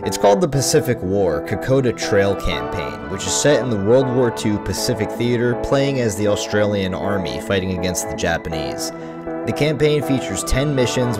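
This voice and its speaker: Animated young-sounding gamer guy with music behind. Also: on the low side. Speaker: gaming video creator. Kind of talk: casual gaming chat and video intro.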